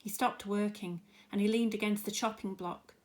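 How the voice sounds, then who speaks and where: A woman's voice: medium pitch, steady tone, normal speed, a little mellow. Woman reading a children's story expressively. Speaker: teacher reading a story aloud.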